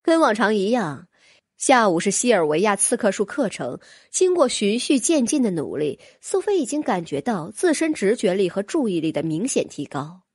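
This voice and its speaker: Lady reading a fantasy audiobook, very expressive, bit husky, higher voice, dry close sound. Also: moderate pace, dry recording. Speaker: audiobook narrator. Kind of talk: scripted fantasy novel narration.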